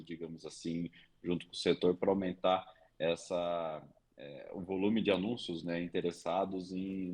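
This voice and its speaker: Low husky Brazilian man explaining. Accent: Brazilian Portuguese. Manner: explanatory, leans on tag questions and fillers. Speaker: radio industry commentator. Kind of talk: podcast commentary.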